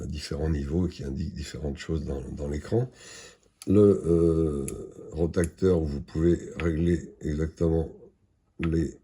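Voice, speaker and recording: A man giving an unscripted product demonstration in a halting, matter-of-fact, explanatory way. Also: extremely low pitch, warm tone, slight background noise. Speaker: product presenter.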